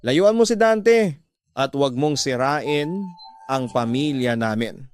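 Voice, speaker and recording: A jealous, haughty wife from a radio drama, voiced by a man. The delivery is curt and commanding, built for confrontation. Music plays clearly behind the lines. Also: mid-range voice, takes it slowly, no echo, lightly acted. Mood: stern, accusing. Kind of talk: Filipino radio drama acting.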